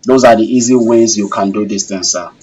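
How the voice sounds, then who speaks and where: A man's voice: lowish voice, a little fast, a little mellow, husky, distant mic, lo-fi sound. A man instructing a live online class and taking questions, courteous and formal, repeating phrases for emphasis. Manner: courteous, addresses learners formally as sir. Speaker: instructor of an online technical course.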